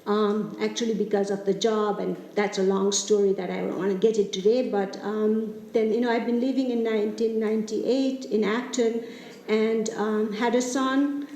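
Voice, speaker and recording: A woman in her fifties from Nepal with a Nepali accent, giving a casual talk to a club about her community TV show. She speaks in a friendly, halting way, with many fillers and restarts. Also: medium pitch, warm tone, echoey room, rough recording. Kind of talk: casual presentation.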